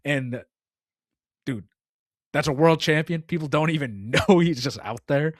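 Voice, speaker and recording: A man's voice: on the high side, unhurried, no room sound, studio quality. A young man co-hosting a casual gaming podcast, rambling and filling his talk with "like" and "dude.". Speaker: podcast co-host.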